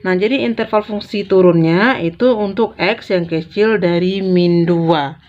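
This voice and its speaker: A woman's voice: on the low side, a little slow. Lady math tutor, big sister style, goes step by step n repeats stuff while writing, kinda muffled cheap audio. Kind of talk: informal step-by-step lesson explanation. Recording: dull, lo-fi sound.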